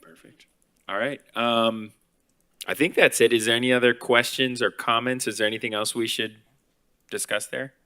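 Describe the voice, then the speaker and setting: Man, board chair, clear resonant voice, bright tone, informal procedural manner. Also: medium pitch, steady tone, moderate pace. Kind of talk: chairing a public meeting. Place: city board meeting room.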